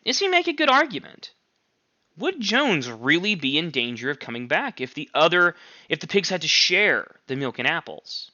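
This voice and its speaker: A male teacher reading a novel aloud to his class with expressive, clear phrasing. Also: fairly high pitch, moderate pace, dry recording, highs cut off.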